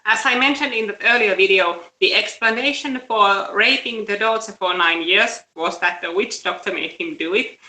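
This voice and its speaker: A woman's voice: mid-range voice, normal speed, few pauses, bright, forceful tone. A woman giving casual news commentary as a live-stream host. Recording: roomy, echoey live-stream audio.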